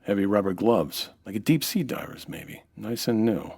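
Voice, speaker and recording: A man's voice: fairly low pitch, moderate pace, breathy edge. Wry American noir narrator played by a man. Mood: deadpan. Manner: dry, wry, deadpan. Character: wry, world-weary hardboiled American nightclub owner, detective-style narrator. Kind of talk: 1940s radio noir narration and dialogue.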